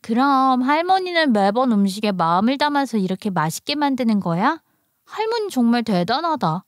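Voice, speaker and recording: Storybook child played by a woman: small girl, raised pitch, sincere, wide-eyed and earnest. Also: big pitch swings, normal speed, dry recording, studio quality. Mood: admiring. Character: earnest, admiring little girl, eager young cook.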